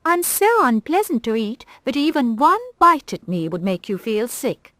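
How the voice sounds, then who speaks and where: A woman voicing a bold, cheerful, motherly rag doll in a high, bright voice. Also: sing-song, normal speed, no room sound, clean studio sound.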